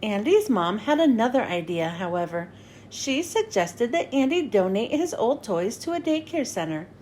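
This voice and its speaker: A woman narrating a children's storybook as a read-aloud host, with expressive, clearly phrased delivery. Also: a little high, normal speed, slight background noise.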